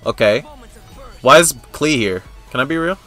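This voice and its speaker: Young male reaction host, lively and swooping pitch, slangy exclamatory gamer talk, faint music underneath. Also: moderate pace. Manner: slangy, exclamatory, repeats himself. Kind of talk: casual excitable gamer reaction commentary.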